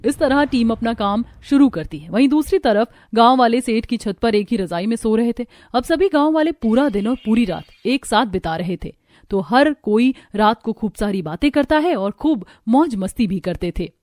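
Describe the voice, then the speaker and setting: A woman reading a scripted story as the narrator of an animated cartoon. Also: fairly high pitch, moderate pace.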